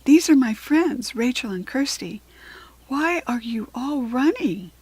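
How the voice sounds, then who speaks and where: A woman plays a small American-accented zebra fairy from a children's storybook. She is kindly, earnest and eager, with a breathy voice in a dry, close recording. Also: high voice, lively intonation, a little slow, darkish tone, no echo, voice pushed up, full character voice.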